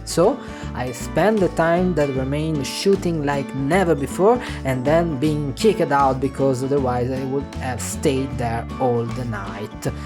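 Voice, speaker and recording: A man's voice: mid-range voice, measured pace. Italian-accented man narrating a travel vlog. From Piedmont, northern Italy. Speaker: travel vlogger. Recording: music underneath.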